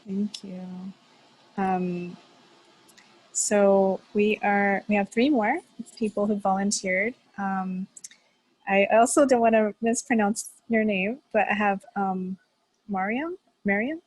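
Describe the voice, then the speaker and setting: A woman moderating an online writing workshop on a video call, soft-spoken and hesitant with fillers. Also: medium pitch, slow pace, no room sound, rough recording.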